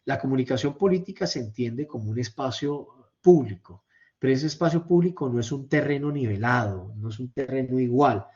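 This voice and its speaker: Guy lecturing over slides, soft mellow tone, stumbles and repeats words while explaining, kinda muffled lo-fi mic. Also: medium pitch. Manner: hesitant, halting, repeating words; explanatory, checking in with tag questions. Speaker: university lecturer. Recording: slightly dull, lo-fi microphone sound.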